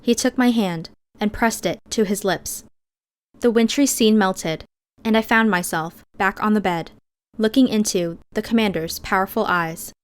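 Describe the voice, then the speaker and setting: A woman's voice: medium pitch, measured pace. A woman reading scripted first-person fiction as an audiobook narrator.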